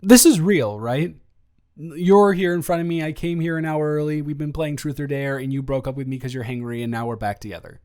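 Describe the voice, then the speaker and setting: A young man playing an awkward, eager, rambling ex-boyfriend in an improvised romantic roleplay audio, stammering and halting as he talks. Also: on the high side, darkish tone, natural voice. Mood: amazed, excited.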